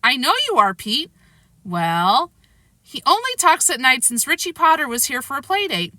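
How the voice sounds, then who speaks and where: A woman's voice: sing-song, moderate pace, sharp tone, dry recording, full character voice. Woman doing a whiny stammering little boy. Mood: sly. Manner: high, sobbing, stammering. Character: whiny sly little boy, easily scared.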